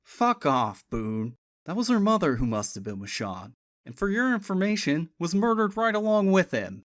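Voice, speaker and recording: A man's voice: on the high side, big pitch swings, slow, darkish tone, no echo, studio quality. A man voicing an angry, protective young man who snarls his defiant lines. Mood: snarling.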